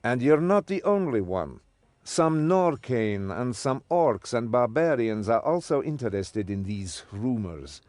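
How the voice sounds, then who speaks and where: A man's voice: mid-range voice, big pitch swings, a little mellow, no room sound. A man voicing a shrewd, patronising desert guide in a fantasy video game, mysterious and self-important. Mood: patronising welcome. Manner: slow, paternal, self-important.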